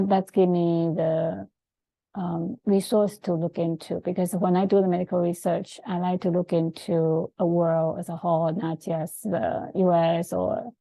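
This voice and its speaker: A middle-aged woman whose first language is Chinese, giving an informal presentation in a halting, hesitant way with many pauses. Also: lowish voice, gravelly, dark tone, no room sound, low-quality recording. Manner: halting, hesitant, frequent pauses mid-sentence. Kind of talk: informal presentation with screen share.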